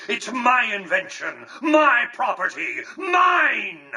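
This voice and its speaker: An actor playing an imperious, calculating old tycoon in a video game, speaking formally and precisely with pompous condescension. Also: male voice, on the high side, pushed and bright, husky, far from the mic, full character voice, rough recording. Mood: outraged. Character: imperious, calculating, centuries-old male tycoon ruling a futuristic Vegas. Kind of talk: scripted video game character dialogue.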